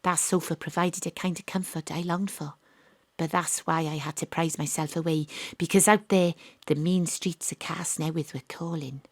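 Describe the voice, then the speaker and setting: A woman's voice: low voice, fairly even intonation, moderate pace, darkish tone, no echo. A woman playing a world-weary female detective, narrating a wistful, melodramatic noir monologue in an audio drama. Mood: wistful, resolute.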